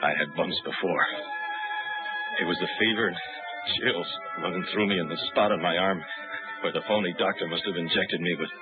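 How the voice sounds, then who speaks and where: An actor playing a determined freelance pilot who narrates in the first person in a 1950s radio drama, terse and urgent. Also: male voice, low-pitched, wildly swooping pitch, fairly quick. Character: hard-boiled adult male freelance pilot, determined. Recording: tinny old radio broadcast with music.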